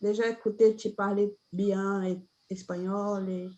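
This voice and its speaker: Woman guest, mid pitch, halting learner's conversation. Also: little pitch movement, warm tone, dull audio. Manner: hesitant, simple phrasing. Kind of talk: casual chat in a learned language.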